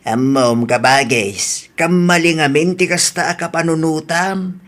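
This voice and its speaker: A man's voice: on the high side, a little slow, a bit bright. A man playing a sensible, exasperated brother who admonishes his sister in a radio drama. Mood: exasperated reasoning. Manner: admonishing, emphatic. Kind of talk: Ilocano radio drama acting.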